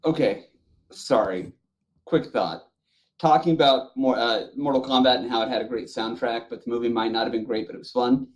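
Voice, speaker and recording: Mid-pitched man in casual podcast chat. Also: normal speed. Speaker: podcast panelist.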